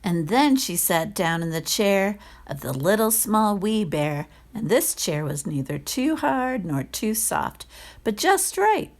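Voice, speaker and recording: Slow, very expressive woman reading a storybook. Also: medium pitch. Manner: careful, expressive read-aloud. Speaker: storybook reader. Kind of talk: scripted children's story narration.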